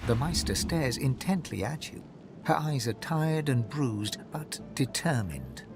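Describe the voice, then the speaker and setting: An actor narrating a dark fantasy video game as a solemn storyteller, in a literary, dramatic style. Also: male voice, medium pitch, sing-song, unhurried. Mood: grave, descriptive. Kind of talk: scripted second-person game narration. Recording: music behind the narration. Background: music.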